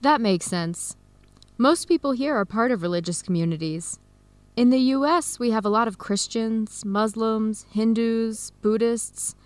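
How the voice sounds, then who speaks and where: A woman's voice: medium pitch, dry recording, lightly acted. A woman acting an earnest young adoptee in a radio drama, speaking openly with an American accent.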